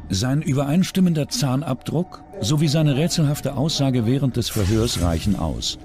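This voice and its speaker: Animated male true-crime narrator over music. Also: mid-range voice, normal speed. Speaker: documentary narrator.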